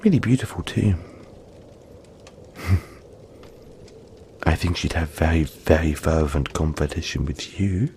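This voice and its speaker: A man voicing a gentle, friendly young yeti in an intimate audio roleplay, speaking softly and reassuringly, with halting, breathy phrasing. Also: a bass voice, expressive, slight background noise, full character voice. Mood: fond, relaxed. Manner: soft-spoken, reassuring, halting, often breathy near-whisper.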